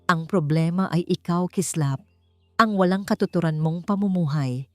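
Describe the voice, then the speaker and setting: A woman's voice: lowish voice, moderate pace, mellow, no echo, faint music behind, natural voice. A woman voicing a stern, wealthy father in an audiobook drama, curt, authoritative and scolding. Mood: angry scolding. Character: stern, wealthy father, cold commanding patriarch.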